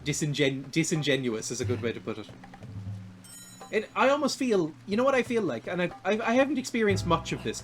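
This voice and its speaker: A young man with a high, light voice talks casually as he plays through a video game, drifting from topic to topic and often laughing at himself. His pitch sits above the usual for men. Faint music plays underneath him. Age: 20s. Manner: rambling and self-deprecating, laughs often. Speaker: streamer. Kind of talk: casual chat while playing a video game.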